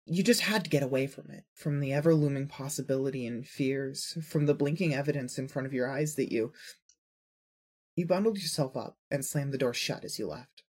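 A narrator reading scripted second-person fiction in an earnest, emotive storytelling manner, with a husky voice. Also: mid-range voice, fairly quick, a little mellow.

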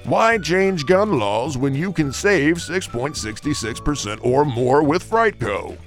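A man playing a gleefully callous, mock-sincere insurance pitchman in a satirical radio commercial, with exaggerated emphasis and dramatic pauses. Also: big pitch swings, soft background music, exaggerated voice. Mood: upbeat salesman. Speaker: announcer.

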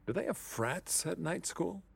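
An actor playing a slick, two-faced, breezily dismissive office boss in a comedic audio drama, full of false encouragement. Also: male voice, a little high, lively intonation, unhurried, creaky, slightly rough, full character voice. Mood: smarmy dismissal.

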